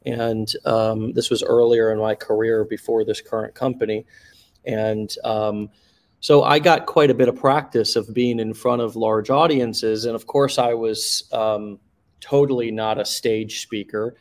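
Male host, lowish voice; measured, reflective storyteller with plenty of ums. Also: fairly even intonation. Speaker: podcast host. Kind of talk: casual podcast conversation.

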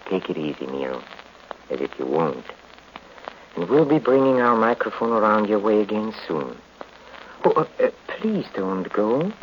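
Very deep courteous radio host. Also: male voice, sing-song, moderate pace. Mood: genial. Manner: courteous, chatty. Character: genial, courteous male host of mystery show. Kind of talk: old-time radio hosting. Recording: dull, noisy vintage radio recording.

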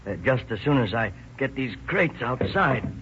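An actor playing a gentle, eager young shop partner in an old radio drama. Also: male voice, mid-range voice, sing-song, normal speed, lightly acted. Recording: muffled old radio broadcast with hiss.

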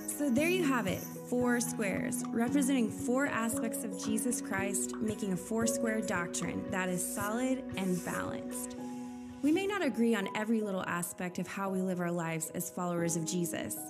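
A woman reading a scripted church explainer video in a bright, upbeat way. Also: mid-range voice, moderate pace. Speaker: video narrator. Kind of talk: scripted narration. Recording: narration over loud music. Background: loud music.

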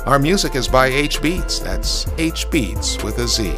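A man's voice: medium pitch, lively intonation, measured pace, over music. A man hosting a podcast, reading an upbeat advertisement and signing off. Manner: upbeat, emphatic sales delivery. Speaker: podcast host. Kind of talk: read advertisement and sign-off.

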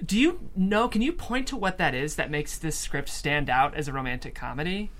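Lively, lower-voiced woman host. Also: moderate pace. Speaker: host and stage-direction reader. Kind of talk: table read narration and casual interview.

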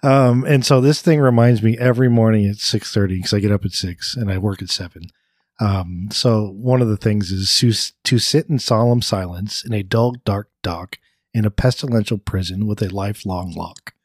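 A young man co-hosting a podcast in casual chat, speaking in a deliberate, low-key way with pauses between thoughts, a little congested and raspy from a recent illness. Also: a little mellow, dry recording, studio quality. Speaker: podcast co-host.

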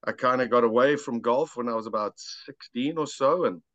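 A man's voice: mid-range voice, fairly quick, fairly bright tone, no echo. A South African-accented man telling personal stories casually as a podcast guest, laid-back and self-deprecating. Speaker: podcast guest, amateur golfer. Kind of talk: casual chat, personal storytelling. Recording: dull lo-fi dry audio.

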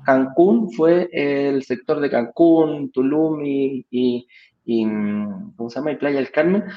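A Chilean man co-hosting a live stream remotely, explaining at length in a rambling, halting way with repeated words. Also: mid-range voice. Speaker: co-host, sales director. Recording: roomy, dull remote audio.

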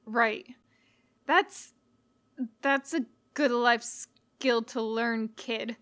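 Woman voicing a stuttering, menacing skeleton boy, slow drawl. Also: a little high, fairly even intonation, fairly bright tone. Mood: quietly menacing. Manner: stuttering, halting, casual drawl. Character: Sans, menacing, easygoing older brother skeleton boy.

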